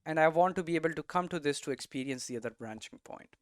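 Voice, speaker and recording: A man's voice: on the high side, quick pace, dry recording. A man giving a casual, unscripted tutorial as a game developer, thinking aloud and often checking in with rhetorical questions. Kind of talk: casual, unscripted explanatory talk.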